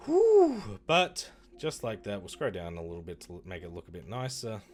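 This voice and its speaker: A man streaming and chatting casually about games, rambling and correcting himself, with his voice going hoarse. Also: on the low side, normal speed. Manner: rambling, self-correcting, lively. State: losing his voice. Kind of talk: casual livestream chat reviewing games.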